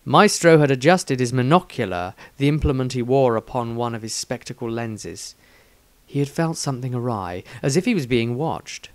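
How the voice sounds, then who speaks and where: Male narrator for fantasy audiobook: lively, varied intonation, scripted storytelling, dry recording. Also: mid-range voice, moderate pace, dry recording. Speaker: author and narrator.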